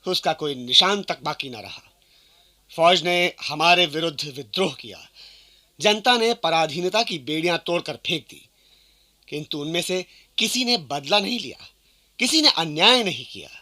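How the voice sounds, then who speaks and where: Forceful, solemn English historian. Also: male voice, fairly high pitch, animated, normal speed. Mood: solemn, emphatic. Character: solemn English historian reciting his written account. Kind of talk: dramatic radio play reading.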